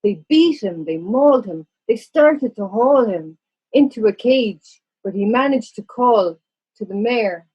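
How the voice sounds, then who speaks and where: Husky woman narrating a storybook. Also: on the high side, expressive, unhurried, muffled recording. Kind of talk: expressive children's storybook narration.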